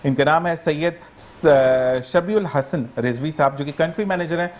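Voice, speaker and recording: A Pakistani man hosts a morning TV show in a fairly high voice. He sounds chatty and courteous, and greets his guests formally before he brings up each topic. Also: unhurried. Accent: Pakistani Urdu.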